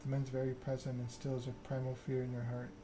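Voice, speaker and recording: Man narrating with flat, subdued delivery. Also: mid-range voice, brisk, background noise. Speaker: gaming video creator. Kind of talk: ASMR narration of a text-based game.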